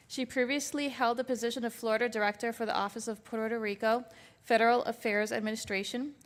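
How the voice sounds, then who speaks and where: A woman acts as emcee and reads out a formal introduction for the next speaker. Her voice is a little high, and her delivery is steady and measured. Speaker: event emcee. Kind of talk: reading an introduction.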